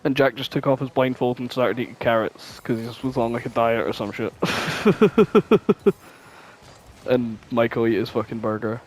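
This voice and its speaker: A man's voice: medium pitch, normal speed. A man chatting casually as a podcast co-host, blunt, opinionated and free with swearing. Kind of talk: casual chat, reminiscing.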